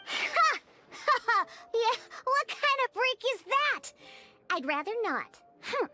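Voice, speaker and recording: A woman's voice: extremely high pitch, very animated, slow pace, exaggerated voice. A woman voicing a grumpy little cat-girl bartender in a video game, pouty, huffy and exasperated. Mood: grumpy, scheming. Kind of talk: video game cartoon-like acting. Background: game music.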